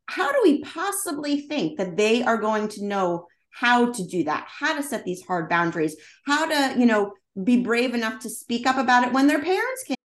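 A woman's voice: fairly high pitch, sing-song, normal speed, few pauses. A woman from the United States hosting a podcast interview, speaking in an enthusiastic, chatty way. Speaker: podcast host and parent.

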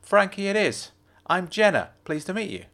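A man's voice: high-pitched, very animated, a bit bright, voice pushed up, full character voice. A man putting on a bossy, teasing young woman. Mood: teasing, pushy. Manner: pushy, teasing, rapid-fire questions.

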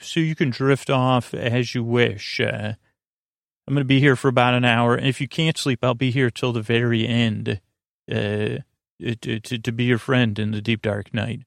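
An American man hosts a bedtime podcast in a creaky, gravelly voice at a middling pitch. He wanders through his monologue, halting and doubling back on his own sentences. The sound is close and dry. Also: steady tone, no echo. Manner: meandering, halting, self-interrupting. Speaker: podcast host. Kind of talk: rambling sleep podcast monologue.

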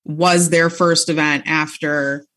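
A woman's voice: sits low, level tone, takes it slowly, a bit bright. A woman chatting casually as an interview guest, informal and giggly in manner. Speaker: guest, bookseller and author.

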